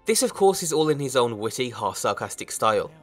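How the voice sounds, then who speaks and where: Fast-paced male review narrator. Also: medium pitch, very animated. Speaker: mod review host. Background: music.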